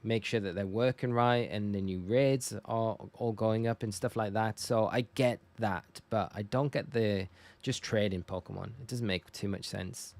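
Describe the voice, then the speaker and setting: A man's voice: on the low side, fairly even intonation, moderate pace, a little mellow. A man from northeast England with a northern English accent, chatting casually and informally to viewers as a live game streamer. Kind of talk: casual livestream chat while gaming.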